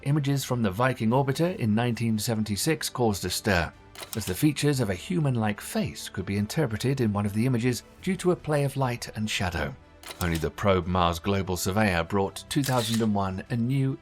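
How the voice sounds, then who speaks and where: A man reading scripted documentary narration in a measured, formal way. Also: fairly low pitch.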